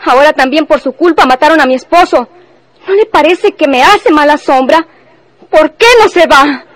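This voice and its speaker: An actress playing a proud, grieving young widow with a Mexican accent in a melodramatic radio serial. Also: female voice, high-pitched, normal speed, fairly bright tone, no room sound. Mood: bitter, reproachful.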